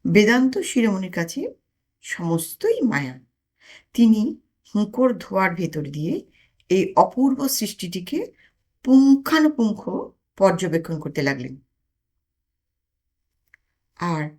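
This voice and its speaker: A woman narrating a comic audio story in an expressive, dramatic manner with deliberate pauses. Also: mid-range voice.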